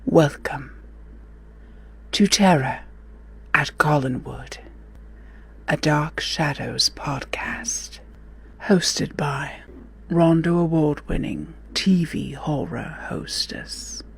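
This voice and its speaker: A man voicing a sinister, ominous gothic announcer in a theatrical podcast promo, with a breathy, raspy whisper. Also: fairly high pitch, drawn-out words, pauses often, full character voice. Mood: ominous welcome.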